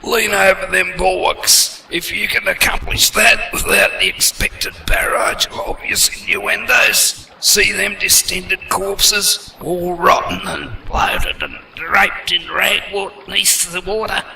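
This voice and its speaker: A man's voice: high-pitched, fairly even intonation, takes it slowly, hardly any pauses, echoey room, distant mic, heavily acted, poor audio. A man voicing a grotesque, spiteful ferryman of the dead who snarls and hurls insults. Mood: menacing. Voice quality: gravelly, shouting and hissing insults. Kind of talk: comic audio drama acting.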